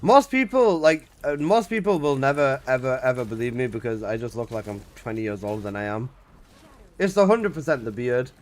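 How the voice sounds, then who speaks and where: A man in his twenties, casually chatting on a live game stream, reading out chat messages and protesting loudly, with casual swearing. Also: medium pitch, expressive, a little fast. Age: 20s.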